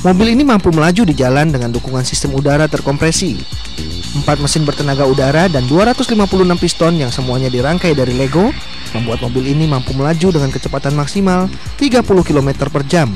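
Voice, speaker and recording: Male reporter, news voice-over, higher voice, music bed. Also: lively intonation, fast talker.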